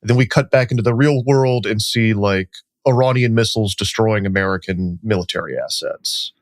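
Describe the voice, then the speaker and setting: A man's voice: fairly low pitch, moderate pace, no room sound. A man talking as a reporter guest on a podcast, in a loose, chatty way full of "like" and "um.". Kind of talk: casual podcast chat.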